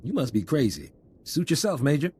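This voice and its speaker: Game resistance officer: husky mid-range voice, blunt, confident, informal. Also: male voice, medium pitch, big pitch swings, fairly quick, warm tone. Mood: cocky. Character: cocky, blunt male resistance fighter officer. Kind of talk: video game voice acting.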